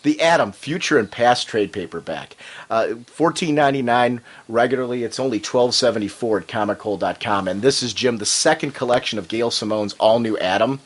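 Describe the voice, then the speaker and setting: A man at a middle pitch, chatting on a podcast with a slightly nasal sound from a cold. He talks with enthusiasm, getting more animated when he praises something. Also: fairly quick. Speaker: podcast co-host. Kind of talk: casual chat and ad reads.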